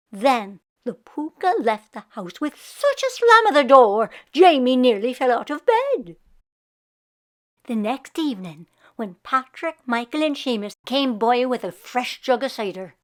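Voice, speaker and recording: A woman narrating a children's storybook aloud as a storyteller, in an expressive scripted read-aloud style. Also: sits high.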